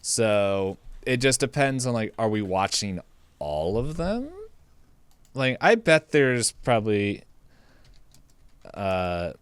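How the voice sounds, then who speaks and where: A man's voice: lowish voice, sing-song, slow pace, pauses often, clear, resonant tone, no room sound. A man co-hosting a podcast in casual chat, hesitant and rambling as he thinks aloud. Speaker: podcast co-host.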